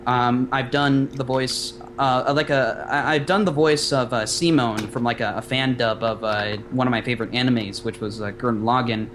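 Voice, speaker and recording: A man chatting as a guest on a casual podcast, his talk full of fillers and restarts. His voice sits at an everyday middle pitch. A clear hum runs under the recording. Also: fairly even intonation, moderate pace, hardly any pauses. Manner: hesitant, filler-heavy, breaks into character voices. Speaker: guest voice actor.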